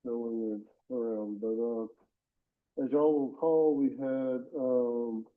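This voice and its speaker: A man's voice: mid-range voice, slow pace. A man, congested from a head cold, giving a formal presentation to a county board and reading legal text aloud. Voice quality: nasal. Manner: polite, steady, reads legal text aloud. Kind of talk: formal presentation at public meeting. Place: county commission meeting room. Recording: phone-like meeting audio.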